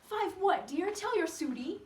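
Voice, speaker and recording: A woman acts out a fussing, devoted young woman in a dramatic story recital. Her voice sits high and she coaxes with flustered emphasis. It is heard in an echoey room from a distance. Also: expressive, a little slow, darkish tone. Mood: anxious, coaxing. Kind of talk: solo dramatic storytelling performance. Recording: echoey room, distant mic, background noise.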